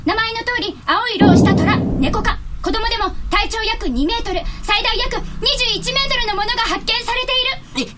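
A woman's voice: very high voice, normal speed, heavy background noise. A woman playing an earnest, bossy young female agent in an anime-style fantasy radio drama. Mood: alert, explaining. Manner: bossy, exasperated, emphatic.

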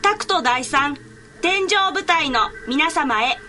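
A woman's voice: high-pitched, expressive, slow, sharp tone, soft background music, exaggerated voice. A woman voicing a polite, earnest little boy prince in a radio drama, formally and carefully reading out a letter. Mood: earnest, grateful. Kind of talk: Japanese anime-style radio drama acting.

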